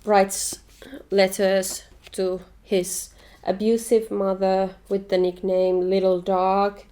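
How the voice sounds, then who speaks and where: A young woman from Finland, with Finnish as her first language, talks through her reading in an easy, chatty vlog manner. She comes across as eager and opinionated, with a voice of ordinary middle pitch for a woman. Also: little pitch movement, slow pace, warm tone. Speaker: book vlogger. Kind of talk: casual book review chat.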